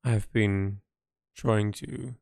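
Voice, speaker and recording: A man speaks very slowly in a smooth, fairly low voice, recorded close and dry. He sounds like an artist keeping a personal audio log, reflective and unscripted. His phrases come haltingly, with thoughtful pauses as he works out what he means. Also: fairly even intonation, a little mellow, no echo.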